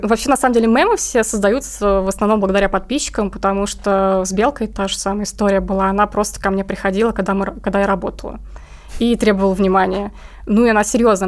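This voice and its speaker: A woman being interviewed, telling stories casually in informal, slangy language. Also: medium pitch. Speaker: interviewed comic artist and cat owner.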